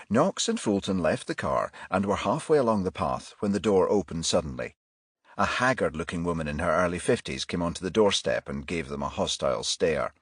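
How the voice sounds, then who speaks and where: Low, husky male audiobook narrator. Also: no room sound, studio quality. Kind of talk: scripted narration of a crime novel.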